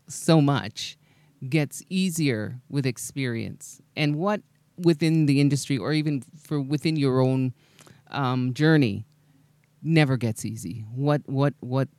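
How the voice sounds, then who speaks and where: A man's voice: mid-range voice, unhurried, a little mellow, no room sound. A man interviewing as host in a casual, attentive way, putting questions conversationally and offering short agreeing murmurs.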